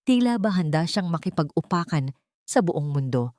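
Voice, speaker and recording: A woman's voice: on the low side, animated, normal speed, darkish tone, no echo, clean studio sound. A woman delivering dramatic scripted narration for an audio drama, in a solemn, emotive storytelling manner.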